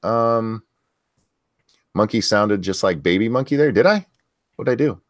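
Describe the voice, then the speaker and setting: A man with a deep voice hosting a casual livestream chat, reading viewer questions in an easygoing, crude, joking way. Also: expressive, unhurried, dry recording, studio quality.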